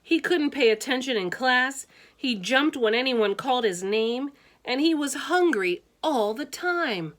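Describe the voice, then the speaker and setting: A woman reading a children's storybook aloud with expressive, deliberate phrasing and casual asides. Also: high-pitched.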